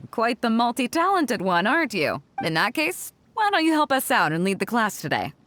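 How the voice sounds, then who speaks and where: A woman's voice: fairly high pitch, very animated, moderate pace, a bit bright. A woman playing a confident, teasing female ship captain in a video game, breezy and self-assured. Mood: playful, teasing. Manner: breezy, playful, self-assured, chuckles while talking. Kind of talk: video game character dialogue.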